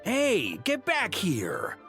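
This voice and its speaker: A man's voice: high voice, wildly swooping pitch, extremely slow, a bit bright, soft background music, exaggerated voice. A man voicing an exasperated middle-aged knight commander in a video game, chiding with fatherly authority. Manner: chiding, sighing, avuncular authority.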